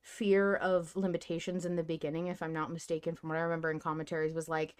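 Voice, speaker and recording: A woman's voice: deep voice, flat delivery, fast talker, clean tone. A woman hosting a podcast in casual, enthusiastic discussion. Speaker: podcast host.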